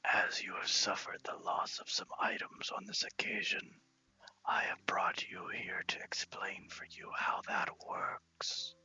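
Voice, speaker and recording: A man voicing a solemn Death from a game, in a slow, formal, breathy whisper. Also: bright, forceful tone, dry recording, muffled recording. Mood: solemn, patient. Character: solemn, slow Death explaining mortality to the fallen. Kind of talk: game dialogue read as ASMR.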